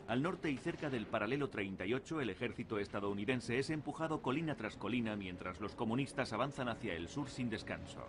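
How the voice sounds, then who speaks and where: A man giving measured, scripted narration for a history documentary. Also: medium pitch, animated, background noise.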